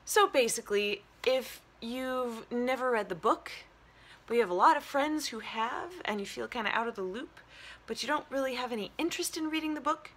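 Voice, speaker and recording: A woman gives a casual review as the host of her own show. Her voice sits at a middling pitch, and she feels her way through her thoughts in loose, hesitant phrases. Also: fairly bright tone, a little hiss or hum. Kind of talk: casual solo movie review chat.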